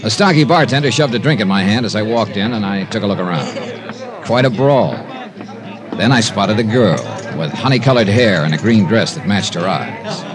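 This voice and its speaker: A hard-boiled American investigator played by a man in a 1950s radio drama. His wry narration moves up and down in pitch, over dramatic music on an old broadcast. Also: animated, normal speed, natural voice. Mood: casual, curious. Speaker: lead actor and narrator of a detective series.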